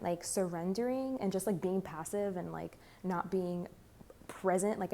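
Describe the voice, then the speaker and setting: Young woman reading tarot, lowish mellow voice, kinda hoarse, lots of like and you know. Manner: rambling, uses "like" and "you know" often. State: slightly hoarse. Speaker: tarot reader. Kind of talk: channeled spiritual monologue.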